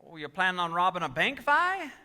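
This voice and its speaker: A man's voice: sits high, very animated, a little fast, sharp tone, full character voice. An actor playing an earnest, flustered small-town family man in a 1940s-style radio play, stammering and repeating himself. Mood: concerned, friendly. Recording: live stage taping in echoey hall.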